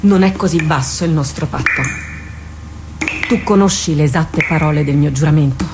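A woman playing a low-voiced, stubbornly loyal warrior captain. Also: moderate pace, close to an everyday voice. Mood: solemn, resolute. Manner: solemn, earnest, theatrical delivery. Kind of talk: Italian radio drama acting. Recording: clearly noisy radio drama recording.

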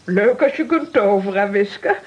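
A woman playing a lively, curious adventurer with a Flemish accent in a children's audio drama. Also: medium pitch, a little slow, slightly rough, dull audio. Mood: wry.

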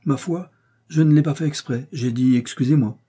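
A man's voice: fairly high pitch, expressive, moderate pace, warm tone, slightly rough, pitched above the performer's own voice. A man reading aloud and voicing a young, hot-headed male swordsman in a hurry, speaking in a heated, defiant manner. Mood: hurried.

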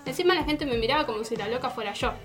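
A woman's voice: high voice, animated, very fast, faint music behind. A young woman with an Argentine accent playing an indignant, sarcastic student chatting colloquially in a radio drama. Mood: exasperated, indignant. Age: young adult. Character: indignant young female student venting to a friend. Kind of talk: naturalistic radio drama dialogue.

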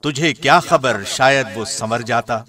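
A man reading a scripture translation as a scripted narration, in a measured, formal way. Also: medium pitch, bright, forceful tone. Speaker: translation narrator, radio host.

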